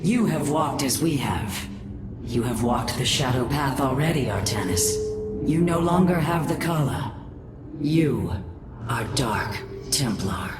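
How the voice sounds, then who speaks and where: Low-voiced, solemn alien matriarch, echoing. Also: female voice, lively intonation, over loud music. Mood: earnest, reverent. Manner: measured, solemn, formal. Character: solemn, wise female alien warrior matriarch. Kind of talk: video game cinematic acting. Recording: echoing voice over loud ambience.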